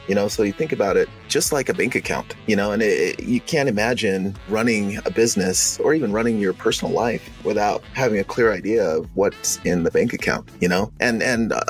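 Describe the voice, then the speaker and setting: A man from Uganda in his thirties, a guest on a podcast, talking casually and restarting his sentences often. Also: on the low side. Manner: relaxed, restarts sentences, lots of "you know". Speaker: guest, software company founder.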